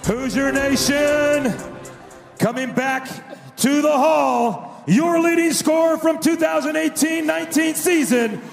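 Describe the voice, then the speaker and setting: A man announcing players in a hyped, dramatic style, shouting and drawing out names over a basketball arena's PA. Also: very high voice, takes it slowly, gravelly. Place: large indoor basketball arena. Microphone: arena public-address microphone. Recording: echoey arena PA, music underneath. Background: music.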